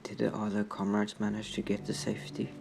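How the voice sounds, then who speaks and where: A man acting a caring, protective male comrade in a comfort roleplay, speaking softly and soothingly with unhurried pauses. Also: lowish voice, monotone, gravelly, over music. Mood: concerned, relieved. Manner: soft-spoken, hushed, soothing, with unhurried pauses. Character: caring male anarchist comrade, protective, gently scolding, tending a friend.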